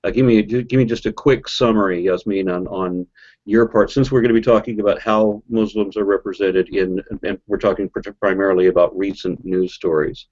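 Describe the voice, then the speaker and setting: A man's voice: on the low side, steady tone, a little fast, darkish tone, highs cut off. A man hosting a podcast, asking his guests questions in an informal, hesitant way. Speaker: podcast host. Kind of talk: casual podcast interview.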